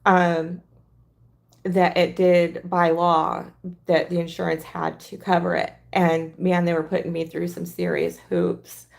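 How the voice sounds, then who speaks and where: Mujer ronca que habla muy despacio, voz grave y rasposa. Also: female voice, lowish voice. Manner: halting, rambling. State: hoarse. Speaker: livestream crafter. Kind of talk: casual livestream chat.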